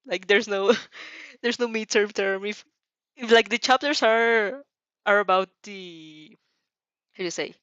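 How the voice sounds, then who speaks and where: A woman's voice: medium pitch, measured pace, long pauses, clear, resonant tone, no room sound, muffled recording. Giggly woman reading fan fiction. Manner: giggly, expressive, breaks off to comment. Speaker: fan fiction narrator and reactor. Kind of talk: dramatic reading with casual reactions.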